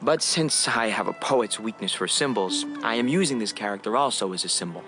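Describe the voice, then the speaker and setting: An actor playing a wistful young son who narrates his memory play in a poetic, measured radio drama monologue, addressing the audience. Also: on the low side, lively intonation, music in the background.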